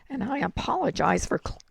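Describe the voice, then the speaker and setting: A woman with a hoarse voice, explaining a card-making project step by step in a chatty way. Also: medium pitch. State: hoarse, throat-clearing. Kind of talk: crafting tutorial.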